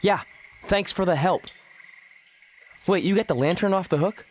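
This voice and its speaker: An actor playing a sarcastic, reluctant wrestler hero in a video game, talking casually with uneasy wisecracks. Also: male voice, high voice, moderate pace, natural voice. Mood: flustered.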